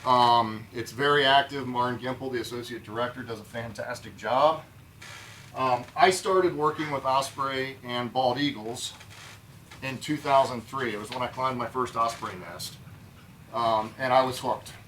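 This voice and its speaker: A man's voice: medium pitch, moderate pace, a bit bright, recorded from a distance, a little hiss or hum, low-quality recording. A man giving a conference talk as a field biologist, plainspoken and informal.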